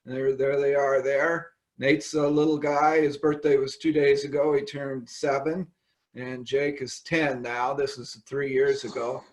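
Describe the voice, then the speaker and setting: A man's voice: medium pitch, level tone, unhurried. An older man from California, a winemaker talking casually over a video call from his home wine cellar, rambling with many ums and uhs.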